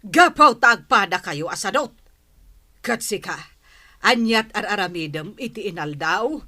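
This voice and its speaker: A woman's voice: medium pitch, expressive, measured pace, bright, forceful tone. A woman with an Ilocano accent playing a stern, exasperated middle-aged village mother in a radio drama. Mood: angry nagging. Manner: nagging, exasperated.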